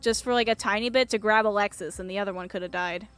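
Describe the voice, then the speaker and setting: A woman's voice: mid-range voice, fast, fairly bright tone, clear, resonant tone. A woman giving playful, joking live commentary as a game streamer while reading a visual novel aloud. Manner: giggly, joking. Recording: game music behind voice. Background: game music.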